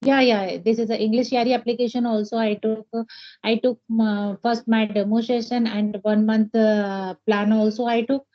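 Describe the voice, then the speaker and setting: A woman's voice: a little high, little pitch movement, moderate pace. Hesitant woman, muffled call audio. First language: Hindi. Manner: hesitant, many fillers and restarts. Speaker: English learner, homemaker. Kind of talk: casual conversation practice.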